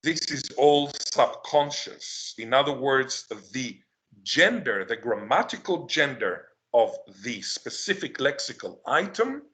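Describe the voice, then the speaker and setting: A man whose first language is Hebrew, giving an academic lecture, answering his own questions emphatically and slowing down to stress key words. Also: medium pitch, fairly bright tone.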